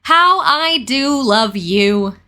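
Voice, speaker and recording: A woman voicing a calm, kindly, confident young boy in a children's storybook, reassuring and matter-of-fact. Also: high-pitched, slow, pitched above the performer's own voice, heavily acted. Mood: affectionate. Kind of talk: children's storybook character reading.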